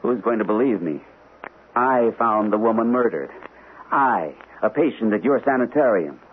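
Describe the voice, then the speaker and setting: An actor playing an earnest, troubled army captain in an old-time radio mystery, on a hissy narrow-band broadcast. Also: male voice, lowish voice, animated, normal speed, natural voice. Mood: shaken, insistent. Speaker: radio actor, also narrating in character.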